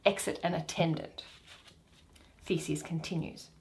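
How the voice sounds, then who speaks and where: A woman's voice: low voice, fairly even intonation, a little slow, darkish tone. A woman giving a dramatic scripted reading of a Shakespeare play, stage directions included.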